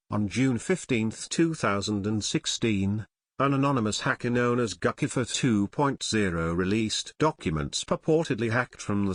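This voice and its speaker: A man's voice: mid-range voice, no room sound. Man narrating scripted political news, dry sound.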